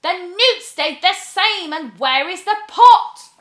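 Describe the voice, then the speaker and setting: A woman's voice: extremely high pitch, expressive, takes it slowly, a bit bright, roomy sound, voice pushed up, exaggerated voice. A woman voicing a hot-tempered, mischievous young witch in a storybook, exclaiming and chanting rhymes dramatically. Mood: furious.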